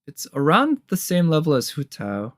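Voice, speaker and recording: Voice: mid-range voice, wildly swooping pitch, normal speed, no echo, clean studio sound. A casual reviewer giving reaction commentary on game trailers, conversational and musing, as if thinking aloud. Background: game trailer music.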